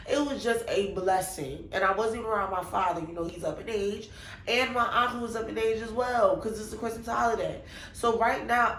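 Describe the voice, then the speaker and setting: Young woman, stuffed-up nasal voice, animated storytelling, echoey room with faint hum behind. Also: medium pitch. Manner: animated, chatty, exclaims to God and Jesus. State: congested, nasal. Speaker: vlogger. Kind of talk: casual storytime vlog.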